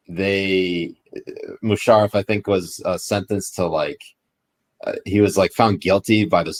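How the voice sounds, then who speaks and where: A man in his thirties talking casually as a podcast guest, rambling with many fillers. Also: fairly low pitch. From United States, partly raised in Pakistan. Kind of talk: casual chat.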